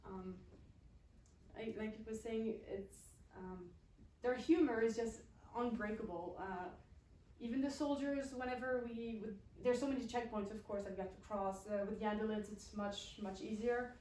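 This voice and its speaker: A young woman from Switzerland speaks English as a second language in a halting, pausing way. She gives a personal account in a higher-pitched voice. The recording is very roomy and distant from the mic. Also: mellow, slight background noise. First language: not English. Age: young adult. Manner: halting, pausing mid-phrase. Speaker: university student speaker. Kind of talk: personal account to an event audience. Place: indoor event room at a college.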